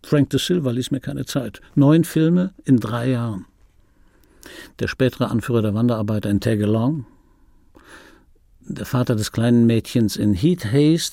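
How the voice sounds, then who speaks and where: An actor playing a middle-aged film dubbing actor in a radio play, reminiscing in a wry, pedantic, digressive way with a gravelly, husky voice. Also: male voice, medium pitch, mellow, no echo, clean studio sound. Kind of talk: radio play monologue, confiding first-person reminiscence. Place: dry radio drama studio.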